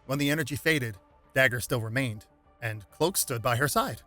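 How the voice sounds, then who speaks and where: Bright-voiced man narrating over music. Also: medium pitch, normal speed. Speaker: narrator reading game lore aloud. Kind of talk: dramatic scripted narration.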